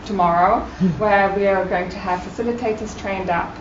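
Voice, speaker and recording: A woman's voice: mid-range voice, fairly even intonation, moderate pace. Echoey, distant woman from Germany speaking off-the-cuff. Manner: appreciative, informal, unscripted. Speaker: guest speaker, care project manager and doctoral researcher. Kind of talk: impromptu thank-you speech at a forum. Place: meeting room at a university campus. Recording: echoey room, distant mic, muffled, loud noise.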